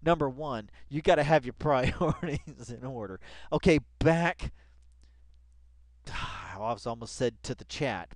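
A man reading along with an article and commenting casually, rambling and excitable, repeating phrases for emphasis and swearing freely. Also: mid-range voice, no echo. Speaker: video commentator.

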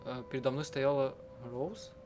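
A man doing let's-play narration, reading and translating text aloud in a halting, self-correcting way. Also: medium pitch, fairly even intonation, a little mellow. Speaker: game streamer and live translator. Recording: game music under the voice. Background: game music.

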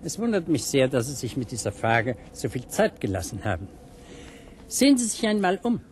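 Eerie know-it-all gentleman in a radio play, theatrical, medium pitch, very animated intonation. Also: normal speed, slight background noise. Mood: assured, beckoning. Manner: assured, theatrical, bookish. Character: knowing, eerie gentleman guide to a gallows moor. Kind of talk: German radio drama acting.

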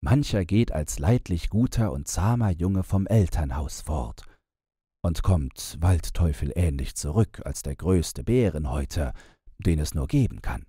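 A man, narrating a bedtime fairy tale in a measured, gentle storytelling manner, close to the microphone. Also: sits low, expressive, breathy edge, no echo. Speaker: audiobook narrator.

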